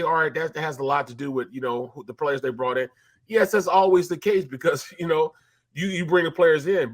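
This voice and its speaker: A man in his late forties talking casually about football as a co-host, with many fillers and repeats. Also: a little high. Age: 40s. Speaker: sports talk co-host, former player. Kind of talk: casual sports talk.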